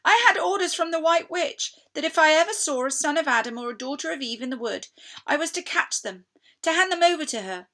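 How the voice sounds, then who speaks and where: A woman's voice: high voice, animated, fairly quick, fairly bright tone, voice pushed up. A woman voicing an anxious, guilt-ridden, tearful male fawn in a storybook, with a stammering, dramatic delivery. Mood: guilty, urgent.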